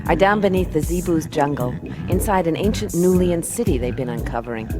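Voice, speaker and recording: A woman's voice: on the low side, lively intonation, fairly quick, natural voice. An actress playing a dry, wisecracking female detective who also narrates, in a radio play. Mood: narrating. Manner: dry, wisecracking, laid-back. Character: wry hard-boiled female space detective. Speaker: narrator and lead character. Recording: loud music underneath.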